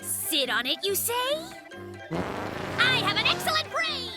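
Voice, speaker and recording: A little bear cub from a children's cartoon, with a tiny, very high voice. The delivery is drawn-out and theatrical, playful and stubborn. Loud, bouncy kids' music plays behind it. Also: huge pitch swings, full character voice. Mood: sly, confident. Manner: playful, silly wordplay. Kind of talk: children's animated cartoon acting.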